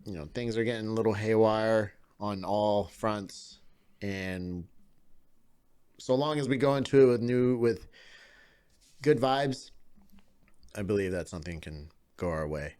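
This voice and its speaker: A man's voice: on the low side, measured pace, pauses often, darkish tone, smooth. A man in his late twenties co-hosting a podcast in casual, laid-back chat, laughing often. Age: late 20s. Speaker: podcast co-host.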